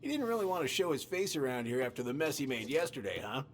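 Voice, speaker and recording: A man's voice: medium pitch, big pitch swings, quick pace, slight background noise, close to an everyday voice. An actor playing a concerned, fatherly middle-aged police officer in a naturalistic video game cutscene. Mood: reproachful, concerned.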